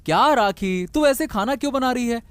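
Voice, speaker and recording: A man's voice: very high voice, animated, normal speed, a bit bright, clean tone, dry recording. A man voicing an indignant, reproachful, protective husband in a Hindi cartoon story, full of exclamations. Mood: alarmed.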